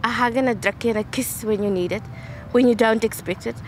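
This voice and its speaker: A woman's voice: fairly high pitch, fairly even intonation, fast, slight background noise. A middle-aged woman with a South African accent, a teacher, speaking fondly and earnestly about a colleague. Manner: warm praise, fond and earnest. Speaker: teacher, colleague who sent the wish. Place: outdoors on open ground, then on a phone.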